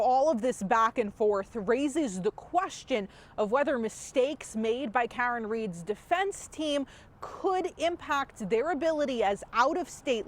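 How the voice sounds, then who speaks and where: A woman's voice: a little high, a little hiss or hum. A woman reporting for television news in a scripted reporter's delivery.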